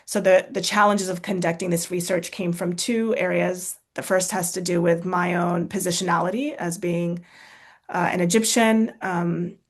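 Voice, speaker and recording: A woman with a slightly low voice talks through her answers on a video call. She is thoughtful and casual, restarting sentences, adding "um" and "right?" as she goes. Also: little pitch movement, normal speed. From United States. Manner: thoughtful, many fillers and restarts, tag questions. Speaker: author and speaker.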